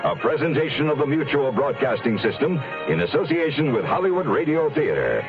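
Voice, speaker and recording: A man's voice: on the high side, sing-song, brisk. A man working as a radio network announcer, reading a programme identification. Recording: dull old radio recording, loud music. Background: loud music.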